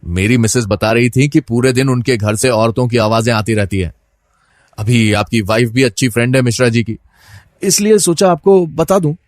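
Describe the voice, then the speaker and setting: A male actor as a gossipy neighbour and colleague in an audio story, with a mid-pitched voice. He is insinuating and sententious, confiding with small hesitations. The sound is very dry. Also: normal speed, no echo, lightly acted.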